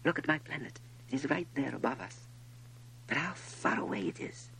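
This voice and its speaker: Man playing a wistful little boy prince, pitched up. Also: expressive, moderate pace, gravelly, pushed and bright, a little hiss or hum, poor audio. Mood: wistful, curious. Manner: earnest, questioning. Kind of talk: storybook reading.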